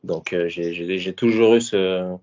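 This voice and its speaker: A man in his thirties from Paris talks through his fighting career in a very deep voice. He speaks casually and haltingly, often restarting and filling pauses. The audio is dull and lo-fi. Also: steady tone, a little mellow, a little hiss or hum. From France, Paris. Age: 30s. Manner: hesitant, many fillers and restarts. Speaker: former MMA fighter and coach. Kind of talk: casual interview answers.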